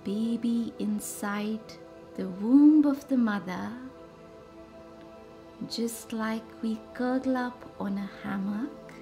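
Female meditation guide, unhurried and mellow with a touch of fry, over a music bed. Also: on the high side. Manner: soothing, measured delivery in short pausing phrases. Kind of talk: guided meditation with slow, reverent visualisation.

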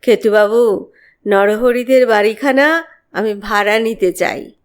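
A woman's voice: medium pitch, takes it slowly, natural voice. A woman voicing a boastful, cocksure male tantric priest in a pompous, assured way for an audio story.

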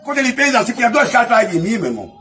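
A man with a Brazilian accent telling a comic anecdote in casual chat, excitable and repetitive, acting out sound effects and dialogue. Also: very high voice, very fast, faint music behind. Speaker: comic storyteller.

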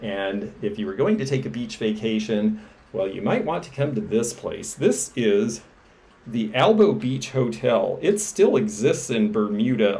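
A man's voice: on the low side, darkish tone. A middle-aged man, an antiques dealer, chatting enthusiastically as he shows vintage pieces for sale to a camera indoors. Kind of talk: show-and-tell selling vlog. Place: indoors against a textured wall.

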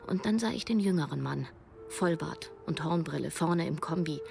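A low, creaky female voice narrates a radio drama as a woman in her thirties. She tells it in the first person, observant and wry, over clear background music. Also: lively intonation, normal speed, darkish tone. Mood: watchful, wry. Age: 30s.

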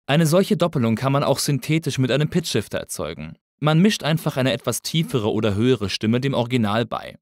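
A man narrating a scripted tutorial as an instructor, in a measured, explanatory way. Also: mid-range voice, lively intonation, dry recording, clean studio sound.

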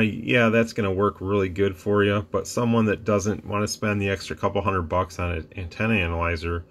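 Low-voiced man demoing a radio. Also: slight background noise. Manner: relaxed, rambling, explains with restarts and fillers. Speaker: radio reviewer. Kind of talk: casual product demo. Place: workbench room with radio gear.

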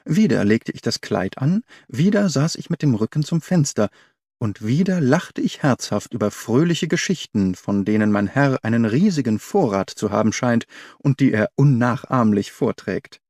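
A man narrates a literary story. His medium-pitched voice moves with animated intonation while the phrasing stays measured and clear. The sound is dry, with hardly any room on it. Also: no room sound. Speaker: audiobook narrator. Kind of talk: scripted literary narration, audiobook reading.

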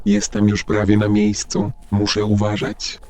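A man's voice: fairly low pitch, monotone, unhurried, darkish tone. A man narrating a video game as a story, speaking as the player character.

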